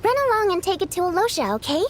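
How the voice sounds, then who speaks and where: A woman's voice: very high-pitched, sing-song, quick pace, noisy background. A woman voicing a spirited young stage performer in a video game, theatrical, teasing and affectionately bossy. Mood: warm.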